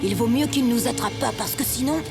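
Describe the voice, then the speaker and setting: A woman voicing an earnest, adventurous young male wolf cub in an animated series. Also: on the high side, quick pace, gravelly. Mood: serious, cautious. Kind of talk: French animated series dubbing. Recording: loud music behind the voice.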